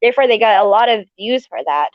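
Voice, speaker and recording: A woman's voice: fairly high pitch, animated, fast talker, no echo, dull audio. A woman from Malaysia chatting casually and giggly as co-host of a live stream from her living room at home.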